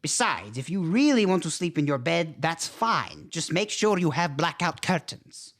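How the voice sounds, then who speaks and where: A man's voice: on the high side, sing-song, normal speed, a bit bright, full character voice. An actor playing a courtly, easily flustered vampire father with formal, stilted phrasing in a campy horror comedy. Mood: exasperated, instructing.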